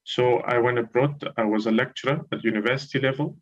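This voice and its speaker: A man in his thirties from Iraq, a guest lecturer telling his story on a live TV interview over a video call, measured and hesitant with frequent ums. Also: mid-range voice, fairly even intonation, muffled recording. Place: home room with curtains.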